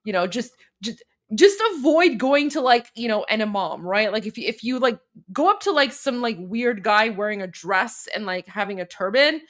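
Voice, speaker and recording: A woman's voice: a little high, lively intonation, moderate pace, a bit bright. A woman hosting a casual livestream, chatty and full of fillers, Persian her mother tongue but speaking fluent English. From Canada. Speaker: livestream host.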